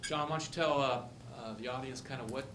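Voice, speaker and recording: A man presiding over a council meeting, speaking in a folksy, halting way with frequent fillers. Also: medium pitch, fairly bright tone. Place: council chamber. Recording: echoey room, background noise.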